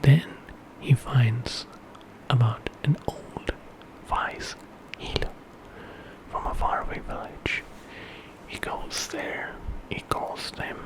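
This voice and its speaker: A man telling an ASMR story in a hushed, unhurried whisper with pauses. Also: medium pitch, low-quality recording. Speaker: ASMR storyteller, channel host.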